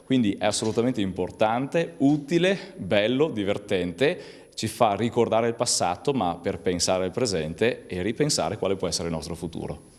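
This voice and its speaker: Italian guy from veneto talking off the cuff, low voice, halting phrases, hall reverb. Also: animated. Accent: northern Italian, Veneto. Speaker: language teacher and academy representative. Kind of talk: public statement, unscripted.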